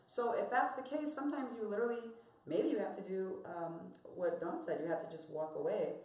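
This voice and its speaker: A woman's voice: medium pitch, a little fast, a little mellow. An American woman on a live panel, talking candidly and reflectively about her own experience. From United States. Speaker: panel guest. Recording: echoey room.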